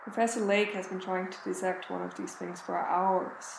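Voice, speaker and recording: A woman acting as a frightened Antarctic expedition member in a radio drama, speaking a halting, brooding journal as dread takes hold. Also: fairly low pitch, little pitch movement. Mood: uneasy wonder. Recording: roomy echo with clear background noise.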